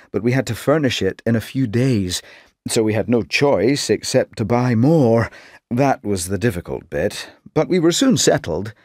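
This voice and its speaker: A man's voice: on the low side, a little mellow, dry recording, studio quality. A man with a Scottish accent giving a scripted, friendly talk about his own experience for an exam listening track.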